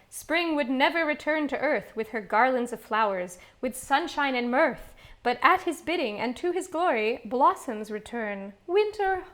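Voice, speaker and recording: A woman's voice: sits high, fairly bright tone. A young woman, a classical singer, chatting casually and laughing at herself while practicing on a stream. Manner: chatty, self-mocking, laughs often.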